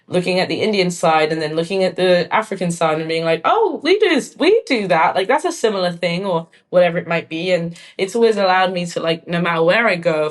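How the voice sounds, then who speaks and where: A woman talks through her ideas as an interview guest. Her voice sits low for a woman. She thinks aloud, filling pauses with "like" and "um.". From London. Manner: thoughtful, frequent "like" and "um" fillers. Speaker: guest artist and filmmaker. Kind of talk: casual conversational interview.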